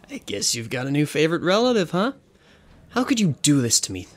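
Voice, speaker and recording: A man's voice: on the high side, very animated, normal speed. A man playing a young, good-natured pony guard captain in an audio drama, boyish and prone to stammering. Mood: teasing, theatrical. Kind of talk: fan audio drama acting.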